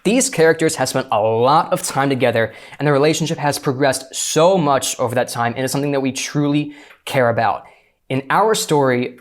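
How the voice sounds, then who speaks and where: A man speaking as a script consultant, giving a methodical, articulate prepared critique in a panel discussion. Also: medium pitch.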